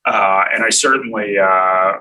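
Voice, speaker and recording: An American man hosting a live finance talk, answering viewer comments in a relaxed way and thinking aloud with many fillers. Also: fairly low pitch, unhurried, far from the mic, low-quality recording. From United States. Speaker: host answering viewer questions.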